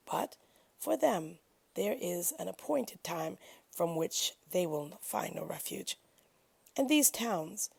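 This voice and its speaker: Woman reading scripture, slightly low, gravelly voice. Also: darkish tone. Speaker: scripture reader. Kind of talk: expressive scripture reading from a translated holy book.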